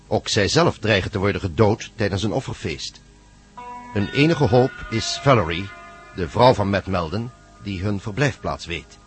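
A man's voice: on the low side, lively intonation, normal speed, soft background music. A man narrating a radio drama synopsis from a script, in Dutch.

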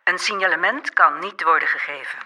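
Newsreader: formal, impersonal police bulletin, piercingly bright, slightly high, radio effect. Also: male voice, fairly quick, heavily acted, poor audio. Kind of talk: formal scripted news reading.

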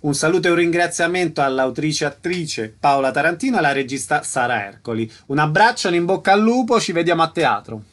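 A male radio interviewer presents theatre events and puts questions to guests. His voice sits somewhat higher than most men's. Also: expressive, fairly quick, few pauses, a bit bright.

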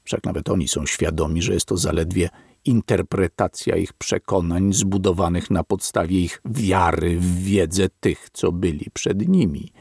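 A man narrating a philosophical text in a solemn, deliberate, sermon-like reading. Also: deep voice, creaky, darkish tone, husky, dry recording, studio quality. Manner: slow, weighty and deliberate, like a sermon.

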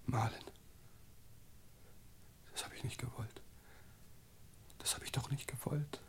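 An actor playing a nervous, bossy, excitable criminal in a 1960 German radio play. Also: male voice, mid-range voice, slow, in a whisper, lightly acted. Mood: horrified. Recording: roomy, distant old radio recording.

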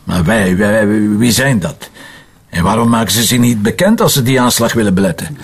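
A man's voice: on the low side, animated, brisk, slight background noise. A man with a Flemish accent acting a seasoned, sceptical police inspector in a crime drama, dry, blunt and colloquial.

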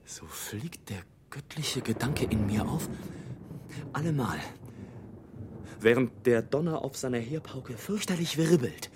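An actor playing a sardonic, restless imprisoned adventurer in an intense, literary radio monologue. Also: male voice, mid-range voice, expressive, measured pace, close to an everyday voice. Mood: rising excitement. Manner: literary, intense, often dropping to a breathy whisper. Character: brooding, sardonic, restless imprisoned male adventurer telling his plight. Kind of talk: dramatic monologue in a German radio play. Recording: clear background noise and ambience.

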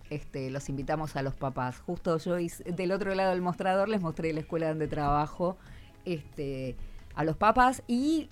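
Argentine woman hosting radio, lively and animated. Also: on the low side. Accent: Buenos Aires Argentine Spanish. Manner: informal, didactic, advice-giving. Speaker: radio host. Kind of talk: conversational radio interview.

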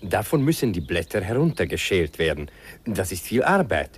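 A kindly old country doctor. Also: male voice, deep voice, big pitch swings, moderate pace, slight background noise. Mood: explaining. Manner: patient, explaining. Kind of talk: 1950s radio play acting.

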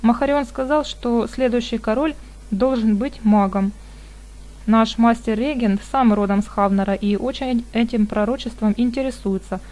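A woman reading a fantasy novel aloud as an audiobook narrator, in an even, measured way, voicing the dialogue lightly. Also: on the high side.

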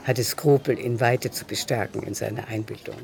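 A man's voice: medium pitch, fairly even intonation, brisk, gravelly, darkish tone. A man narrating a literary radio drama from a script.

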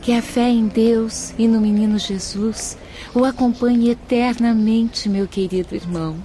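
Atriz como jovem irmã devota, voz aveludada, brasileira. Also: female voice, on the high side, fairly even intonation, unhurried, dark tone, soft background music, close to an everyday voice, poor audio. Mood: tender farewell. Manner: tender, formal, encouraging. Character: Sister Rita, kind, devout, affectionate young woman. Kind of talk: radio drama acting.

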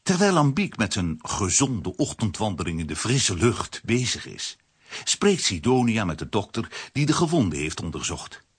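A man narrating a scripted children's story in a rough, husky voice with animated storytelling. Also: lowish voice, normal speed.

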